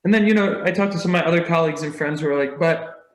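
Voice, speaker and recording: A man with a somewhat high voice talks earnestly and reflectively as an interview guest. His audio sounds roomy, with a clear echo around it. He speaks in a plain, conversational way. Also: racing. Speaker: podcast guest, nurse anesthetist. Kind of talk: casual interview storytelling.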